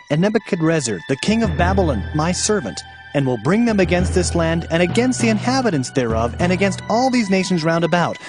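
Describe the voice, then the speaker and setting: A man's voice: a little high, lightly acted. An actor playing an impassioned male prophet warning his people, emphatic and solemn in a dramatized scripture reading.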